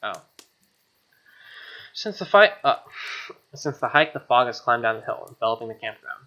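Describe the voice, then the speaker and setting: A woman's voice: rumbling low, lively intonation, moderate pace, a bit bright, muffled recording. A woman streaming a visual novel playthrough, reading narration aloud and dropping in casual, slangy, sweary commentary.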